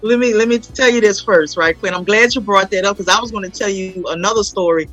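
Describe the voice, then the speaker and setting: A woman's voice: mid-range voice, fast, fairly bright tone, dull audio, faint music behind. A woman, chatting casually as an interview guest and telling stories in an emphatic, repetitive way. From New Orleans, United States. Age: 40s. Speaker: guest, playwright and stage actor. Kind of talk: casual promotional interview chat.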